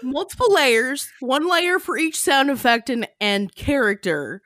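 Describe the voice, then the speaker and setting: A woman podcast host chatting casually, explaining things in an animated, giggly way. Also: sits high, measured pace, no echo, clean studio sound. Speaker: podcast host and sketch creator. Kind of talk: casual chat.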